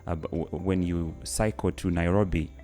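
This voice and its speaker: A man's voice: low voice, steady tone, normal speed, warm tone, faint music behind. A man hosting a podcast, asking curious questions and prompting his guest with frequent murmurs. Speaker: podcast host. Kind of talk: interview questions.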